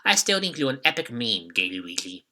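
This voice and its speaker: A man's voice: medium pitch, big pitch swings, a little fast, pushed and bright. A young man narrating a scripted, joking video retrospective with a wry, mock-dramatic manner. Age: young adult. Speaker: YouTube retrospective host.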